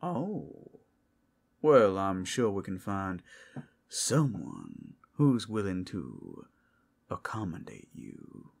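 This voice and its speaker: Man playing a husky, drawling, seductive werewolf. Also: sits low, huge pitch swings, very slow, heavily acted. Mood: sly, welcoming. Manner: flirtatious, drawling, teasing. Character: suave, predatory male werewolf companion at a fantasy brothel. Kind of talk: ASMR roleplay.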